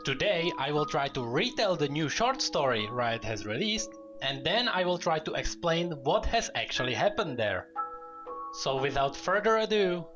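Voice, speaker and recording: Man, dramatic story narration, lively varied intonation, clear music behind. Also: fairly high pitch, moderate pace, highs cut off. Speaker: lore video narrator.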